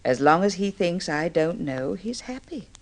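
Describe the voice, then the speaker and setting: Casting: a tender, devoted wife, middle-aged, lowish voice with lively swings, vintage radio drama. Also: female voice, normal speed. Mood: tender, wistful. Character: gentle, devoted blind wife, quietly knowing. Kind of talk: old-time radio drama acting.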